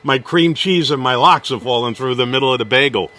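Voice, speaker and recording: A man from Brooklyn hosting a casual interview, opinionated and halting with frequent fillers. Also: medium pitch, bright, forceful tone. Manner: hesitant, many uh fillers, opinionated. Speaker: host.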